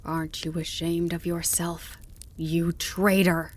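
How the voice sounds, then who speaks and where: A woman's voice: fairly low pitch, little pitch movement, slow. A woman voicing a wounded, exhausted old male fox in a storybook, speaking with desperate, bitter emotion. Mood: bitter contempt. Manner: imploring, lamenting, turning to bitter snarls.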